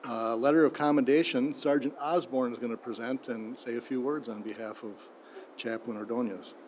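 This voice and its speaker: A mayor runs a city council meeting, moving briskly through motions and votes. His voice is an ordinary middle pitch. Room noise is clearly heard under the meeting recording. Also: steady tone. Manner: brisk, procedural. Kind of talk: chairing a meeting. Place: council chamber.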